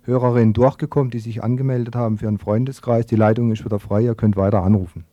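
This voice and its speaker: A man's voice: medium pitch, steady tone, fast talker, mellow, highs cut off. A man presenting on community radio, reading a scripted news commentary aloud. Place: radio studio.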